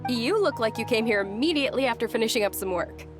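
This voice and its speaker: A woman's voice: a little high, expressive, fast talker, lightly acted. A woman playing a kind, refined young lady in a video game, polite and composed. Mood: kindly. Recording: music behind the voice.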